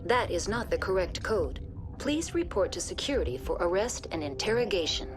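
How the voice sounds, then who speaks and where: Woman doing a polite computer lady from a video game, very procedural, up and down pitch, music playing behind. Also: sing-song, moderate pace, close to an everyday voice. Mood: courteous, unyielding. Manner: formal, procedural, helpful. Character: polite, unfeeling female facility computer interface.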